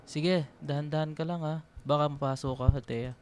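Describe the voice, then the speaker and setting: A man's voice: on the high side, steady tone, moderate pace, darkish tone, clear, resonant tone, natural voice. Actor as an easygoing, teasing young man. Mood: gentle, caring. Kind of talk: radio drama acting.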